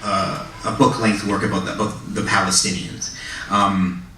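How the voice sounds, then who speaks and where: An earnest man with a low yet bright, forceful voice talks about his writing, repeating words as he stammers along. He is recorded from far off in a very echoey library hall with a faint hiss. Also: steady tone, normal speed. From Canada. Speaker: panel speaker, writer. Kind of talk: festival panel talk. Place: public library event hall. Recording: echoey hall, distant mic, faint noise.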